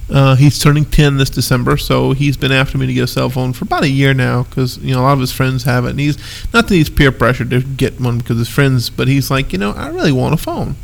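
Guy, kinda gravelly, stuffed up nose, rambling podcast chat, laughs a lot. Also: medium pitch, expressive, quick pace, few pauses. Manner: easygoing, laughs often, rambling asides. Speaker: podcast co-host. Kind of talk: casual chat.

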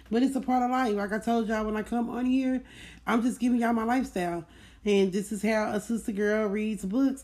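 A woman's voice: a little high, darkish tone. A woman hosts a casual book club podcast, talking in a folksy, emphatic way and repeating key words for stress. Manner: folksy, addresses listeners as y'all. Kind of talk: casual chat, recapping a book.

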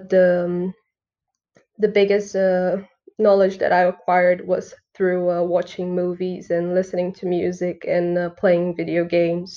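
Student guest in her 20s, English, fry in the voice, muffled remote audio. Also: on the low side, warm tone. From Brazil. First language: Brazilian Portuguese. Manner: hesitant, many uh fillers. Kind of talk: podcast interview answers.

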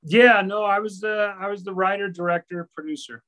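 A middle-aged man from the American Midwest chats as an interview guest. His voice is a little higher than most men's, and he rambles informally, looping back over his phrases. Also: brisk, fairly bright tone, rough recording. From US Midwest, grew up in Minnesota. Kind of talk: casual conversational recollection.

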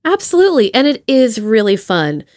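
A woman's voice: fairly high pitch, very animated, normal speed, darkish tone. A woman hosting a casual podcast interview with an effusive, playful way of talking. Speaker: podcast host.

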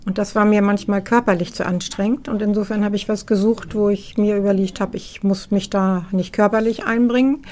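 A woman's voice: medium pitch, fairly even intonation, brisk, hardly any pauses, darkish tone. A retired woman in her sixties, a volunteer, explaining her reasons plainly and matter-of-factly.

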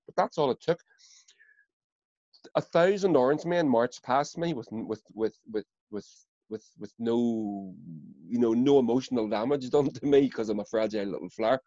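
A man's voice: mid-range voice, moderate pace, dark tone, no echo. Sweary Northern Irish man, dry dull sound. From Northern Ireland. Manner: blunt, sweary, repeats words when fired up. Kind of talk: casual chat.

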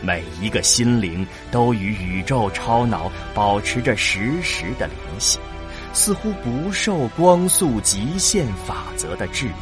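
A man's voice: medium pitch, animated, a little slow. A man narrating a science fiction audio drama as a scripted, dramatic story. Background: prominent background music.

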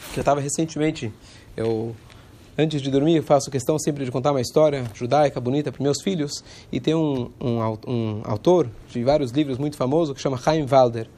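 A man, hoarse from a cold, giving an informal religious lesson in a conversational way and pausing now and then to search for words. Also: on the high side, warm tone.

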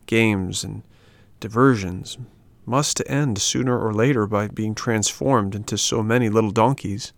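A man voicing a solemn, sighing little female marmot who speaks slowly and softly in a storybook reading. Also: fairly low pitch, vocal fry, dry recording. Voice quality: slow, grave, soft.

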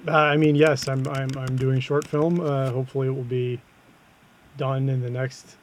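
A man co-hosting a podcast with a quiet, deep-sounding voice, hesitant and stumbling over his words. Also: level tone, normal speed, a little hiss or hum. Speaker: podcast co-host. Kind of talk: casual podcast chat.

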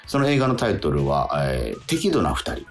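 A man's voice: sits low, wildly swooping pitch, moderate pace, faint music behind. A man hosting a radio talk show in a studio, chatting casually with many fillers and easy nods.

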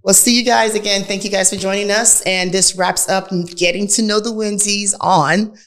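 A woman's voice: mid-range voice, steady tone, moderate pace, fairly bright tone. A woman co-hosting a podcast in casual conversation, with a candid, playful and teasing manner. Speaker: podcast co-host. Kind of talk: casual couples chat.

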